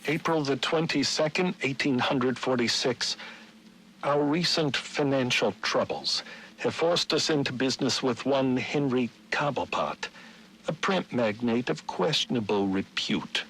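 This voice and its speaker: Measured mid-pitched period gentleman. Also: slight background noise, low-quality recording. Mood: grave, resentful. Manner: formal, measured. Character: aggrieved, formal nineteenth-century gentleman reading his journal. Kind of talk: dramatised diary narration.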